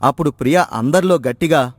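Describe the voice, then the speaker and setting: A man tells a scripted story as narrator. His voice sits a little high for a man. The recording is dry, with little room around it. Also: brisk, no room sound.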